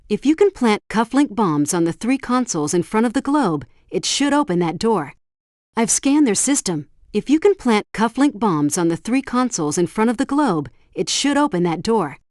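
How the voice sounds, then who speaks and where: Precise spy agency helpdesk operator. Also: female voice, on the high side, darkish tone, dry recording, studio quality. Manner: precise, instructive, briefing-like delivery. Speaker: mission helpdesk guide. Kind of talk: scripted game tutorial narration.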